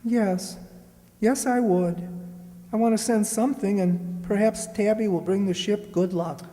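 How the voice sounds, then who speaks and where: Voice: mid-range voice, a little slow, dark tone, natural voice. An actor playing a humble, affectionate young servant boy in a children's radio play. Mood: shy, polite. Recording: reverberant hall recording.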